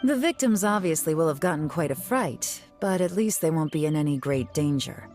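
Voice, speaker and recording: Low-voiced, cool, confident tracker. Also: female voice, expressive, moderate pace. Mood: composed, confident. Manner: composed, assured, lightly teasing. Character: cool, confident woman investigator and expert tracker. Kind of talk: scripted video game dialogue. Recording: game music behind the voice.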